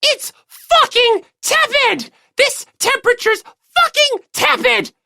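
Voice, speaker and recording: A woman's voice: extremely high pitch, lively intonation, slow pace, gravelly, sharp tone, voice pushed up, exaggerated voice. A woman voicing a haughty, sadistic young lady in an audiobook, refined and imperious with a volatile temper. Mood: furious, screeching. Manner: imperious, refined, snapping into screeching. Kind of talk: anime-style audiobook character acting.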